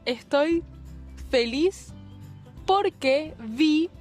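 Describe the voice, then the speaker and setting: High-voiced Argentine woman, slow. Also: animated, a little mellow, soft background music. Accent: Argentine Spanish.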